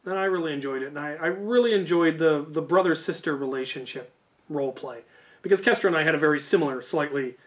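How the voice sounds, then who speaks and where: A man telling stories casually as a video host, in an informal, hedging way that often corrects itself. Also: fairly high pitch. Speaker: YouTube host. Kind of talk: casual rambling storytelling.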